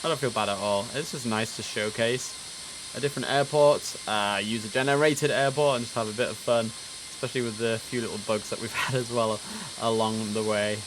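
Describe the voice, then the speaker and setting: A man with a fairly low voice gives casual live commentary as a game streamer. He talks in a chatty way, thinking out loud as he goes. Some hissy noise sits around his voice. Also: fairly even intonation, brisk. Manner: chatty, musing aloud. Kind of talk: casual live gameplay commentary on an air traffic control simulator.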